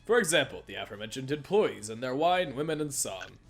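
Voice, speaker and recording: A man reads game dialogue aloud in a casual, halting way, tripping on phrases and starting them again. His voice is of medium pitch, with music playing faintly behind it. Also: very animated, creaky. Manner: halting, stumbles and repeats phrases while reading. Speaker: let's play host reading a visual novel aloud.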